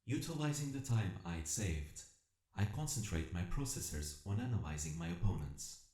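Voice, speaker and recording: Deep, echoing solemn robot acted by a man. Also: animated, dark tone. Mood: analytical. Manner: measured, formal, reflective phrasing. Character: self-evolving combat robot, earnest, solemn, longing for freedom. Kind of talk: dramatic first-person narration, audio drama. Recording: echoing voice over music. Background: music.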